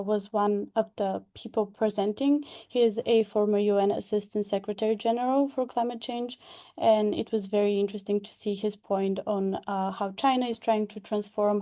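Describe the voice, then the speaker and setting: A woman's voice: mid-range voice, flat delivery, normal speed, mellow. A young woman, speaking hesitantly with many fillers about her experience over a video call. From Bulgaria. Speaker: past delegate and graduate scholar. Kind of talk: online information session talk.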